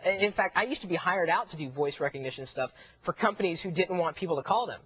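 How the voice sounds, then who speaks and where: Guy tv host, jokey, kinda high husky voice, talks fast. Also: expressive, fairly bright tone. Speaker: TV show host. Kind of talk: casual, joking interview chat. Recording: dull lo-fi broadcast audio.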